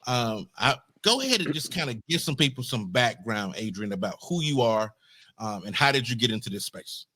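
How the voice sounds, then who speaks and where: An American man hosting an interview, introducing his guest in a friendly, relaxed way with short restarting phrases. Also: medium pitch, fairly quick. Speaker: host.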